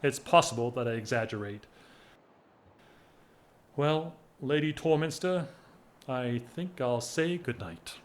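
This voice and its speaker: An actor playing a weary, lovelorn upper-class gentleman in a period audio drama, speaking with formal, wistful wryness. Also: mid-range voice, natural voice. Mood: restrained, wistful. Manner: formal, wistful, wry, measured speech. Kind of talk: stage-style period radio drama acting.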